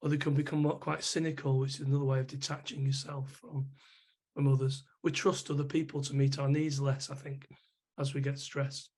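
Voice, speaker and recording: A man working as a psychotherapist, answering interview questions thoughtfully with a rough voice from a chest infection. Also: mid-range voice, level tone, a little fast, a little mellow. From England. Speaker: psychotherapist guest.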